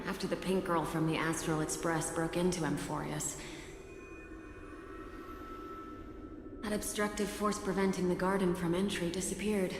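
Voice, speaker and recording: A woman's voice: lowish voice, natural voice. An actress voicing a breezy, confident female gang leader in a video game, assured and flippant. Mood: reassuring, pleased. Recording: echoey hall, music behind.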